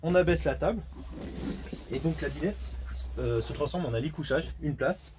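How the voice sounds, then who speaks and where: A man's voice: fairly high pitch, moderate pace, background noise. A man, giving a casual product walkthrough inside a small camper van. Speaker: camper van dealer presenting a vehicle.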